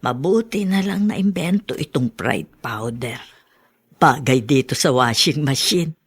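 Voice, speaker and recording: A woman in a radio drama playing a gossipy middle-aged housemaid who comments to herself, with a husky voice. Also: extremely low pitch, expressive, a little slow, full character voice. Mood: chatty, content.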